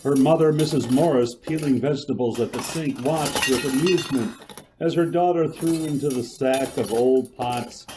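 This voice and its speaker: A man narrating an old-time radio suspense drama in a theatrical, measured storytelling manner. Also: medium pitch, background noise, lightly acted. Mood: dramatic. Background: music.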